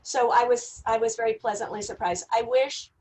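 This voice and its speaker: A woman's voice: fairly high pitch, steady tone, normal speed, darkish tone. A woman chatting casually as an actress on an online panel. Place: indoors, online video seminar.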